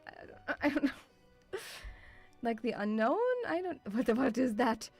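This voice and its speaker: A woman with a mixed European accent touched by Scottish, chatting casually as a livestream host in an excitable, rambling, sweary way. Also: fairly high pitch, unhurried, creaky, breathy edge, faint music behind. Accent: mixed European with Scottish influence. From Lithuanian-Russian background, partly grew up in Scotland.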